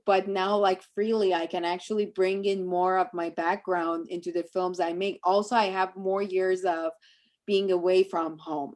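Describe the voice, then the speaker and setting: A woman's voice: fairly low pitch, a little mellow. A woman from Iran, a native Persian speaker, talking reflectively and conversationally about her work as a guest speaker, searching for her phrasing as she goes.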